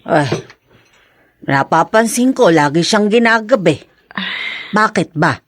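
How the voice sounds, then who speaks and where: A woman playing a caring middle-aged mother in a radio drama, expressive and consoling. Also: mid-range voice, slow pace, no echo, studio quality. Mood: concerned.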